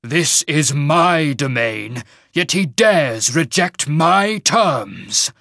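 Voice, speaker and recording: A man voicing a wrathful, imperious shaman mage lord in a fantasy audiobook, with booming, commanding pronouncements. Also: expressive, extremely slow, gravelly, pushed and bright, no room sound, studio quality, full character voice. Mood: indignant. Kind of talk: dramatic fantasy audiobook character.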